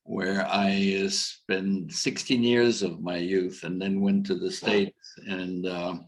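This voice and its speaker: Deep-voiced middle-aged man, rambling talk. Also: steady tone, takes it slowly, rough recording. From Algeria. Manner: rambling, many fillers and restarts. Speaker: coach and conference speaker. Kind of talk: casual conference talk. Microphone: video call.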